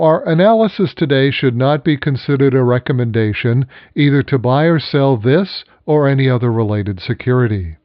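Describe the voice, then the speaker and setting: A man hosting a financial program, reading scripted news in a measured, formal manner. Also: medium pitch, no room sound, highs cut off.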